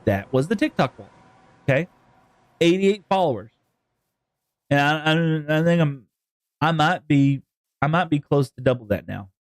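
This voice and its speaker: A man's voice: on the high side, expressive, a little slow, pauses often, dry recording. Rambling Texan man streaming. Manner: rambling, jokey, talks to chat. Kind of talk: live-stream gaming chat.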